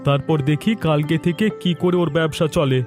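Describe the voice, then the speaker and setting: High voiced sneaky village baddie guy acting, cartoon, jealous, bit of music. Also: steady tone, moderate pace, a little mellow, lightly acted. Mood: plotting.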